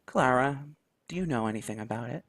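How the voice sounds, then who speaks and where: A man's voice: mid-range voice, animated, brisk, a bit bright, dry recording, soft background music. A man doing a stern woman teacher. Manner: stern, formal. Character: stern woman schoolteacher, strict.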